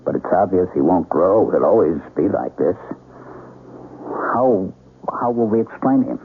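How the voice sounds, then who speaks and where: On a narrow, faintly noisy radio recording, an anxious husband and father speaks. He is earnest and hesitant, groping for words in a very animated male voice. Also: low voice, a little slow. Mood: troubled, brooding. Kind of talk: radio drama acting. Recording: tinny, hissy old radio broadcast.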